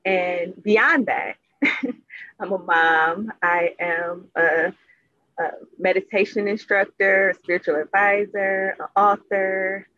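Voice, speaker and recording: A woman introducing herself on a casual podcast, speaking slowly with light laughter. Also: medium pitch, fairly even intonation, pushed and bright, dry recording, highs cut off. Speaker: guest panelist.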